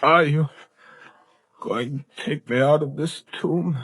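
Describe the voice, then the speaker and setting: Slow, weakened, anguished captive. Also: male voice, on the high side, a bit bright, husky, dry recording, heavily acted. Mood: weak, pleading. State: weak and parched. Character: weak, starved, wronged father held captive, defiant. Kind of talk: 1930s radio acting.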